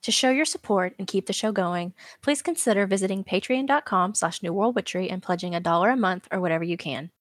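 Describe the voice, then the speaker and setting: A woman co-hosting a podcast, reading a list of names and a scripted message at an even pace. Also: medium pitch, quick pace, few pauses. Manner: reads out a list of names evenly. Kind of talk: scripted read.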